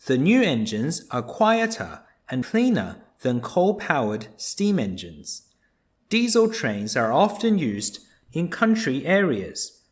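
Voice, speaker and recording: A man reading a children's nonfiction book aloud, explaining things simply and deliberately for young listeners. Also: a little high, big pitch swings.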